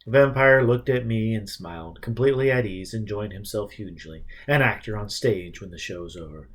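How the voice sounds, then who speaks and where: A man's voice: lowish voice, moderate pace. A man reading a first-person novel aloud as dramatic scripted narration. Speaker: audiobook reader.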